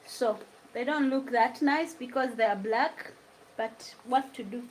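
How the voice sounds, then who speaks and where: A woman's voice: fairly high pitch, unhurried, a little hiss or hum, low-quality recording. A young woman with a Ugandan accent, chatting casually and laughing often as she cooks at home and reads out viewers' questions. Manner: chatty, laughs often, drifts between English and Luganda. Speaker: vlogger. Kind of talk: casual chat while cooking, answering live comments. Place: home kitchen.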